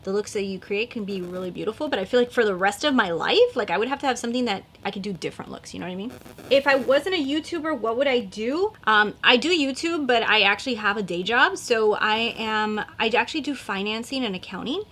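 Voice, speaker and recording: A woman's voice: fairly high pitch, expressive, fast talker, pushed and bright, a little hiss or hum. A woman in her thirties chatting casually while doing her makeup, rambling with lots of likes and fillers. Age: 30s. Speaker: beauty vlogger.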